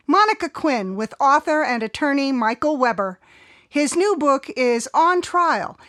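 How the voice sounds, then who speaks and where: An American woman playing a polished public radio host in an audio drama, with a crisp, announcerly delivery. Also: high voice, fairly bright tone, no room sound, studio quality. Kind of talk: radio drama acting as broadcast presenter.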